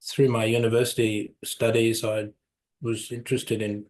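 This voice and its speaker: A man's voice: medium pitch, flat delivery, moderate pace, darkish tone. A man, telling his life story as an interview guest, rambling and correcting himself with light chuckles. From South Africa, raised in New Zealand. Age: 70s.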